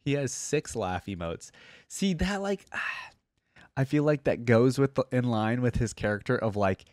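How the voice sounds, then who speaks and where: A man's voice: mid-range voice, expressive, measured pace, darkish tone, no room sound, studio quality. A young adult man giving casual reaction commentary, chatty and informal, thinking aloud as he hosts. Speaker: reaction video host. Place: indoor room at a desk. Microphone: close desk microphone on boom arm.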